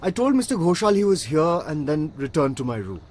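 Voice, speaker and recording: An actor playing a polite, obliging household secretary in a radio drama. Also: male voice, a little high, very animated, a little fast, darkish tone. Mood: careful, answering.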